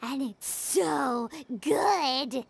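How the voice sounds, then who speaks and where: Squeaky excitable fairy companion. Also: female voice, drawn-out words, vocal fry, a little hiss or hum, full character voice. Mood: eager. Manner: chirpy, eager, talks about herself in third person. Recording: game audio under background music.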